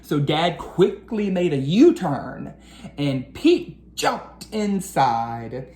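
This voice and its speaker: Slow, low-voiced woman reading a children's story. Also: very animated, warm tone. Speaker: educator reading aloud to children. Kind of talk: storybook read-aloud for children. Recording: roomy echo with faint background noise.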